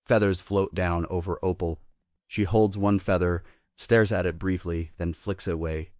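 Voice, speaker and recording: Deep voiced guy reading stage directions at a table read, dry sound. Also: steady tone, moderate pace, a little mellow, dry recording. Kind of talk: scripted narration at a table read.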